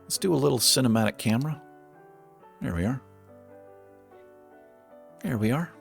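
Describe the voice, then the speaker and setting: A man casually commenting on his city-building game while he plays, thinking aloud with lots of fillers, his voice going hoarse. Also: mid-range voice, animated, moderate pace, soft background music. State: losing his voice. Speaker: gaming channel host.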